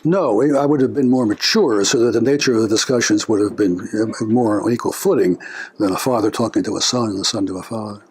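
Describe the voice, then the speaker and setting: An older man being interviewed about his family, speaking in a reflective, unhurried way with frequent pauses and filler words. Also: fairly low pitch, a little mellow, a little hiss or hum. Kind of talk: family oral history interview.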